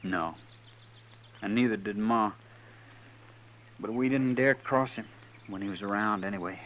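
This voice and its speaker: An actor playing an earnest, fearful American farm son in a 1950s radio western, plain and deferential. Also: male voice, fairly low pitch, a little slow, fairly bright tone, lo-fi sound. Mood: anxious, earnest. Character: earnest, fearful farm son under his father's thumb. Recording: old radio recording with faint noise.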